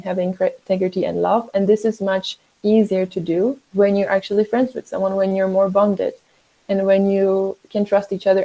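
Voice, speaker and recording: Young female trainer, dark gravelly voice, even delivery, calm explanations. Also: mid-range voice, low-quality recording. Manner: steady, explanatory, a few "uh" and "um". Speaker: workshop presenter. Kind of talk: online training talk.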